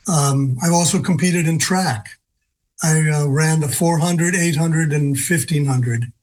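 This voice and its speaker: A man of seventy-two answering as an interview guest in a conversational, halting way, often pausing as he goes. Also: on the high side, a little mellow. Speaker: interview guest, senior games athlete.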